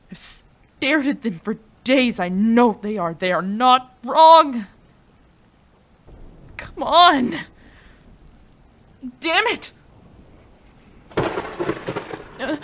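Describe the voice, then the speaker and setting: A woman's voice: on the high side, fairly bright tone, heavily acted. A woman playing a devoted, desperate female mad scientist in an intimate, emotional audio roleplay, addressing a beloved. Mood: frantic. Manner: emotional, breathy sighs, addresses a beloved tenderly.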